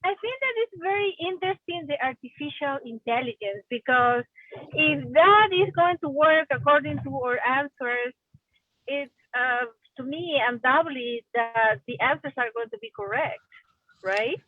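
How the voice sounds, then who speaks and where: A woman from Peru with a Peruvian Spanish accent calls in to a live stream. Her voice is high and hesitant, and she pauses between phrases. It comes through a narrow, phone-like line with a dry sound. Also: lively intonation, no room sound. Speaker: caller, first-time player. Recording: tinny phone-like call line.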